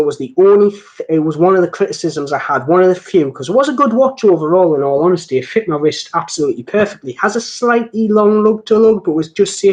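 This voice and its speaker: Higher-voiced Geordie man chatting casually. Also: darkish tone. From north-east England. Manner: rambling, jokey, self-deprecating. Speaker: host of a watch channel live stream. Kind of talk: casual live-stream chat, answering viewer comments.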